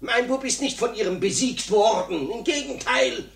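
A man's voice: extremely high pitch, normal speed, a bit bright. An actor playing an indignant, pedantic father who sputters with outrage in a 1950s German radio comedy. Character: indignant, huffy father defending his wimpy son. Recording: roomy 1950s radio play recording.

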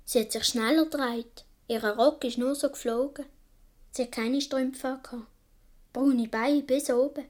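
A woman's voice: sits high, steady tone, unhurried, a little mellow. A woman playing a young Swiss boy who tells his childhood adventures vividly in a radio play, with a Swiss German accent. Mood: absorbed storytelling. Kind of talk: first-person radio play narration.

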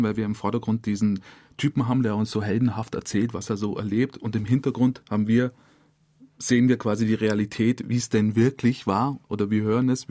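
A man's voice: on the low side, dark tone, no echo. A male radio drama director explaining his work in a studio interview, in a hesitant, searching way.

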